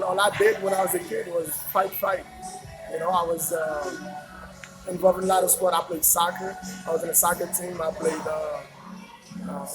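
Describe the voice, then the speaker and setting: A man's voice: high-pitched, poor audio. Man talking loosely over music. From Haiti. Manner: relaxed, rambling answers with many fillers and repeats. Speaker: interview guest. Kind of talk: casual conversational interview.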